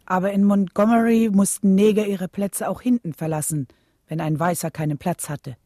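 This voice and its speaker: An actress playing a devoted, steadfast preacher's wife who recalls the past in a radio play, composed and reflective. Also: female voice, medium pitch, fairly even intonation, normal speed, warm tone, close to an everyday voice. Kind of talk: radio play narration and dialogue.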